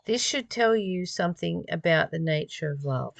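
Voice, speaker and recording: A woman's voice: lowish voice, animated, moderate pace, gravelly, dry recording. A woman reading spiritual text aloud and commenting on it informally in a reflective, musing way, often posing rhetorical questions. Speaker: spiritual study reader and commentator.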